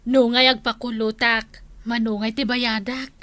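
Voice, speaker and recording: A woman's voice: fairly high pitch, flat delivery, a little slow, bright, forceful tone, no echo. A woman playing a chatty, friendly village shopkeeper in a comedy drama. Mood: friendly chatting.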